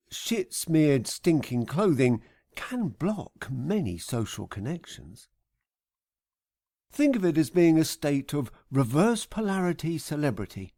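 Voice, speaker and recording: A middle-aged man reading scripted first-person comic narration in a wry, deadpan, mock-grand manner. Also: mid-range voice, no echo, clean studio sound. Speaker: author reading own audiobook.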